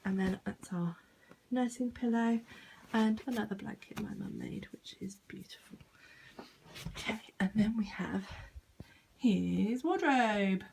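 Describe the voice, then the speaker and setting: A woman's voice: mid-range voice, unhurried, gravelly, darkish tone, slight background noise. A young British woman giving a casual, self-deprecating home tour in an unpolished, informal way. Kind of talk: casual informal chat to camera.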